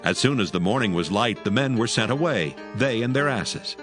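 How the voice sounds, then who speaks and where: A man's voice: on the low side, lively intonation, normal speed, over music. A man narrating scripture as a dramatized audio story, reading from a script.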